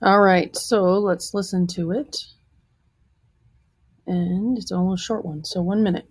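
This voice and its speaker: A woman's voice: fairly low pitch, steady tone, unhurried, husky, no room sound. A woman teacher chatting informally with students as she leads them through a lesson. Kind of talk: casual lesson chat with students.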